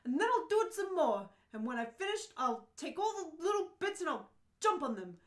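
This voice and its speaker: Woman acting a bewildered exasperated man, high voice, swooping intonation, dry sarcasm, radio play, roomy echo. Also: very animated, a little fast. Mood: furious, ranting. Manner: incredulous, dryly sarcastic. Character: bewildered, exasperated, sarcastic ordinary Englishman swept into space. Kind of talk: radio play read-through. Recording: roomy, echoing room sound.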